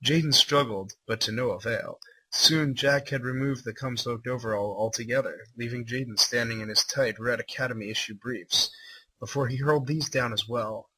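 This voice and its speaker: A man's voice: medium pitch, moderate pace, sharp tone, slightly rough, poor audio. A man reading a story aloud as a livestream host, mixing dramatic narration with irreverent, incredulous commentary. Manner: irreverent, incredulous, swearing asides.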